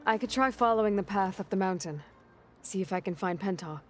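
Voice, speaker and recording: An actress playing a determined, self-reliant young warrior heroine in a video game, direct and questioning. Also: female voice, medium pitch, a little fast, soft background music, lightly acted. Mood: resolute. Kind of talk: video game character acting.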